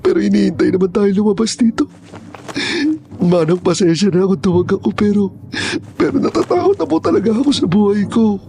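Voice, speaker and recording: A man's voice: sits high, animated, fairly quick, mellow, slight background noise. A man playing a frightened, pleading young man in his twenties in a horror audio drama. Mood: anxious. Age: young adult. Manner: pleading, respectful, whiny. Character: frightened, pleading 26-year-old man hunted by monsters.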